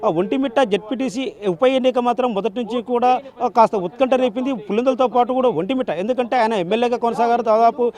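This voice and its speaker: A male field reporter gives a live election report. He talks very quickly in a high voice, wordy and repetitive, tripping over word starts as he goes. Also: darkish tone.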